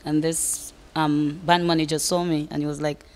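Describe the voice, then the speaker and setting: A woman with a Saint Lucian accent talks about her career as a guest on a talk show. Her voice is lowish and her manner is relaxed and conversational. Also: moderate pace. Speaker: calypsonian guest. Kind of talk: interview answers.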